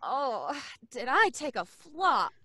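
A woman playing a brash, high-spirited young woman who wisecracks in breezy slang, in old-time radio drama style. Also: sing-song, slow, pushed and bright, no room sound, full character voice. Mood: rueful, grumbling.

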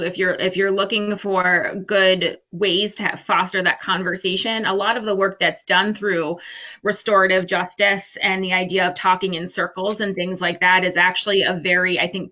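A woman's voice: fairly low pitch, bright, forceful tone. A woman speaking informally as a guest panelist on a video call.

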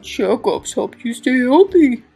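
A woman voicing a caring, cheerful male octopus in a storybook, with emphatic, drawn-out delivery. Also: high voice, expressive, vocal fry, mellow, husky, faint music behind, exaggerated voice. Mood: encouraging.

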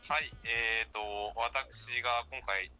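A polite man on a phone call to a radio show, casually pitching an idea as a staff writer. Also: takes it slowly, bright, forceful tone, soft background music. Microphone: telephone call.

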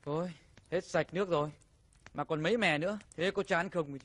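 A man's voice: on the high side, moderate pace, fairly bright tone. A man playing a frazzled, hapless father in a cartoon, flustered and grumbling. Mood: weary grumbling. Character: frazzled, hapless father of two young children. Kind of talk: cartoon voice acting.

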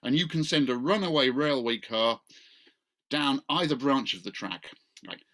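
A man's voice: mid-range voice, moderate pace, fairly bright tone. A middle-aged man, speaking informally and wryly as he introduces an online talk from a video call. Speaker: event chair, law professor. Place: small book-lined room at home, indoors. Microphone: video call, computer microphone.

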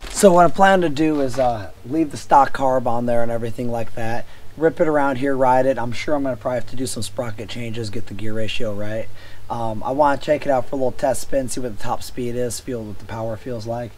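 A man in his thirties, hoarse from a cold, casually explaining a motorbike build in a relaxed, rambling way. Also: medium pitch, fairly quick, a little hiss or hum. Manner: relaxed, rambling how-to narration. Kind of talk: casual workshop vlog. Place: cluttered garage workshop and driveway outdoors.

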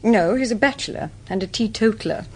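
An actress playing a composed society woman with an English accent, answering deliberately in a radio drama. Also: mid-range voice, lively intonation, warm tone, breathy edge. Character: composed, well-connected society woman under questioning.

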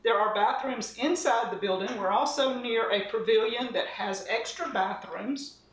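A woman's voice: on the high side, normal speed. Lady cooking show host, chatty and folksy, kinda far from the mic in a roomy home kitchen. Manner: chatty and homespun, talking while mixing dough by hand. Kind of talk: casual cooking demonstration. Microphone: camera across the counter.

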